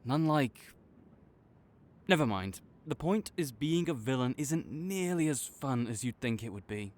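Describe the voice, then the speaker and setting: A man playing a proud supervillain in a dramatic audio roleplay, grudging and exasperated, with a secret soft spot that makes him flustered. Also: mid-range voice, animated, a little slow, close to an everyday voice. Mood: wry, jaded griping. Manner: tsundere, grudging, exasperated, stammering when sincere.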